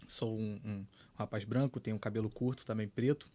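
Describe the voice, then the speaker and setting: A man, a guest on a tech panel, talking in a relaxed, chatty way with many fillers. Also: on the low side, moderate pace. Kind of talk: casual panel discussion.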